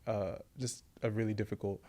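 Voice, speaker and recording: A man's voice: on the low side, level tone, a little fast, dark tone. A man hosting a podcast, asking questions in a laid-back, affirming way, using slang and thinking aloud with restarts. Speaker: podcast host. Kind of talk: casual interview chat.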